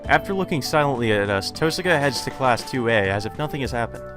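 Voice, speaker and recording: A man's voice: medium pitch, animated, quick pace. A man narrating a let's play, reading a visual novel aloud and adding casual commentary. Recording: music behind the voice.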